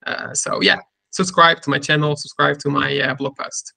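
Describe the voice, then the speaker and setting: A man with a Turkish accent hosting a casual technical interview, speaking haltingly and often repeating and rephrasing his words. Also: fairly high pitch, little pitch movement, creaky, sharp tone, no echo. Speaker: interviewer and cybersecurity content creator.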